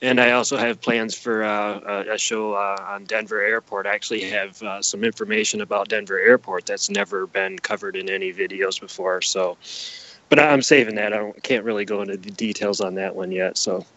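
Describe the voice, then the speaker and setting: A man speaking as an interview guest, explaining his own work in a casual, halting, conversational way. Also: fairly low pitch, bright, forceful tone, muffled recording. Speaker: podcast guest, himself a podcaster and presenter.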